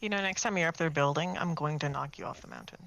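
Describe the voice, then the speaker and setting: A woman's voice: deep voice, fast talker, creaky, fairly bright tone, dull audio. A woman roleplaying in character, dryly teasing another player. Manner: dry teasing, playful threats.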